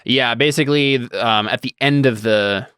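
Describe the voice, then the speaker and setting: A man's voice: mid-range voice, moderate pace, fairly bright tone, smooth, no echo. A young man running a tabletop role-playing game as game master, talking casually and thinking aloud as he reads rules text.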